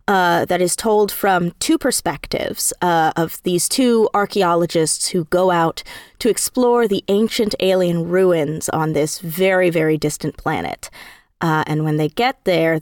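Female interview guest, lowish register, emphatic conversational delivery, very dry close recording. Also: darkish tone, no room sound. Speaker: interview guest, audio drama creator and actor. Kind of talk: casual interview chat.

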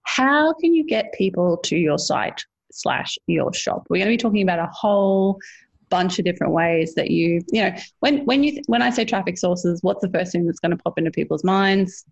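A woman's voice: lowish voice, expressive, moderate pace, gravelly, slightly rough. A woman hosting a podcast in casual, chatty conversation, laughing often. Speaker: podcast host.

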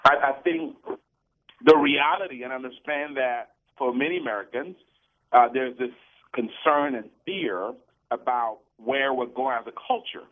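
A man's voice: mid-range voice, a little slow. Man from Cameroon on a tinny phone line. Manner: earnest, preachy, repeats words when starting. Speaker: guest, business program founder. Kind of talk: radio interview talk.

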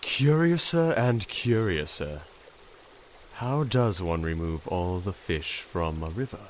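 A woman's voice: a bass voice, expressive, slow, a little mellow, slight background noise, heavily acted. A woman voicing a cryptic talking toad in an audio drama, speaking in dry, formal phrases.

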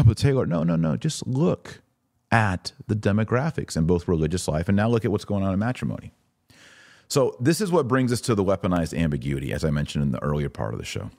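A man's voice: low voice, vocal fry, darkish tone, no echo, studio quality. A man hosting a podcast of religious commentary, speaking emphatically with rhetorical questions and acted-out imagined objections. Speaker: podcast host. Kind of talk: religious commentary to a live online audience.

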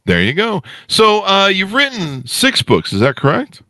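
Animated, bright-voiced male podcast host. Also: sits high, a little slow, dry recording. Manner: playful, self-deprecating, rambling. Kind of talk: casual, jokey hosting chat.

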